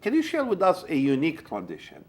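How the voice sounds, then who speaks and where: A man's voice: fairly high pitch, quick pace. A male host interviews a guest on community television. His voice rises and falls freely as he piles on questions. He sounds encouraging and keeps the talk moving. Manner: asks many follow-up questions, lively and encouraging.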